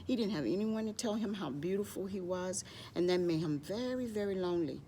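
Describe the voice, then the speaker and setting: A woman's voice: medium pitch, expressive, a little fast, dark tone, a little hiss or hum. A woman hosting a children's library storytime, speaking to young children as she tells stories and shows crafts, often referring to herself in the third person.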